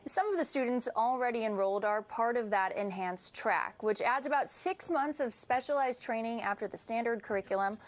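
A woman's voice: a little high, slight background noise. A woman in her thirties delivering a broadcast news report as a TV reporter. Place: TV newsroom, indoors.

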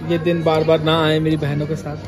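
A man's voice: a little high, monotone, a little fast, music in the background, a crowd in the background. Joking young man in a noisy cafe. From India. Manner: joking, teasing. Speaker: brother. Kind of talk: casual banter. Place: cafe, indoors.

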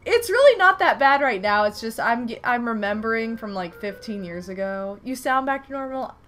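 A woman's voice: a little high, normal speed. A young woman streaming a game from home, chatting casually with viewers, her nose stuffed from a recent flu. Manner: animated, exclaims at the game. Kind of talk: casual livestream chat while playing. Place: home room, indoors.

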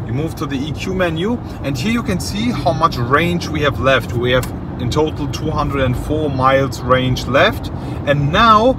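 A man presenting a car in a casual, enthusiastic, explanatory way, checking in with viewers as he talks. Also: a little high. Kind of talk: casual car demonstration to camera. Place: inside a car. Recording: clear background noise, inside a car.

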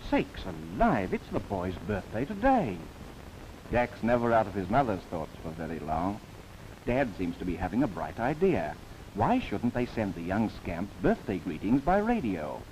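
An old, slightly noisy 1930s film soundtrack carries a man's low-pitched narration. He delivers a formal documentary script with theatrical swings in pitch and a wry touch. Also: very animated, moderate pace, a little mellow.

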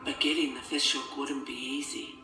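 A man's voice: medium pitch, level tone, moderate pace. A man reading a scripted children's storybook as its narrator. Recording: echoey, distant, music behind.

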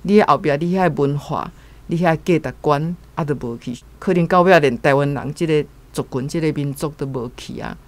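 A woman's voice: low voice, moderate pace. A woman with a Taiwanese accent speaking earnestly and informally as a guest commentator.

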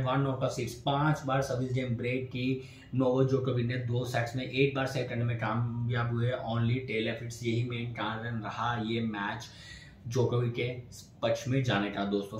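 A man's voice: medium pitch, a little mellow. A young man giving a post-match tennis analysis to camera, gesturing as he speaks to his viewers as friends, recorded in a small indoor room. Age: young adult. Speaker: tennis commentator vlogger. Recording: echoey room, faint background hiss.

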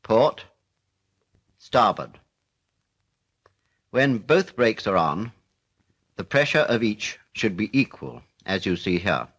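A British man narrating a 1940s military instructional film in a formal, measured, matter-of-fact way. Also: lowish voice, animated, fairly bright tone, slightly rough.

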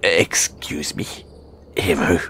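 A man's voice: sits low, fairly even intonation, slow pace, a bit bright, slightly rough, heavily acted. A man acting a squeamish, timid gargoyle handler in an audio roleplay, stammering and speaking with nervous, formal politeness. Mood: apologetic, nervous.

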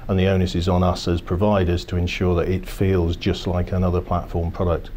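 A man in his fifties, a financial services executive, giving measured, corporate explanations in a seated office interview, often restarting his phrases. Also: sits low, little pitch movement, dark tone, slight background noise. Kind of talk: business interview. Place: office, indoors.

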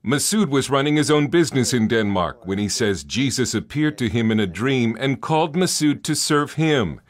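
A man's voice: mid-range voice, lively intonation. Man narrating a TV news report. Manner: measured reporter delivery.

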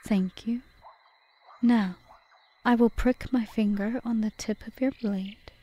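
A woman playing a cunning, possessive, seductive witch in an audio roleplay, speaking in a formal, measured, softly whispery way. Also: fairly high pitch, steady tone, lo-fi sound. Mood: calm, solemn. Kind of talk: ASMR audio roleplay, scripted monologue.

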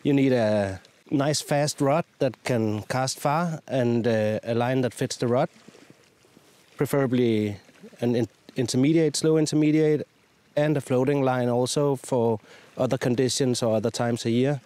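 A man in his thirties hosting a fishing vlog outdoors on a sea shore, talking in a relaxed, chatty, self-mocking way into a lapel mic. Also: mid-range voice, a little slow, no room sound. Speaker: fishing show host.

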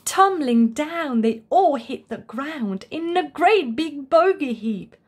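A woman reading a children's picture book aloud as a storyteller, in an expressive, deliberate way. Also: high-pitched. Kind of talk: scripted children's story narration.

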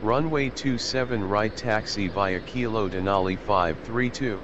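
A man's voice: fairly low pitch, a little slow. Muffled synthetic man as airline pilot on radio. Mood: brisk, procedural. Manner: flat, formulaic, clipped. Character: computer-voiced male airline pilot, brisk and procedural. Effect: radio filter. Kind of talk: clipped air traffic radio readbacks. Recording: dull radio sound over noisy airport ambience.

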